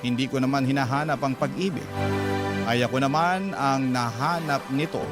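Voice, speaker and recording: A man with a Filipino accent hosting a radio story program, reading a letter as dramatic, measured narration in short phrases. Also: mid-range voice. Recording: loud guitar music under the voice.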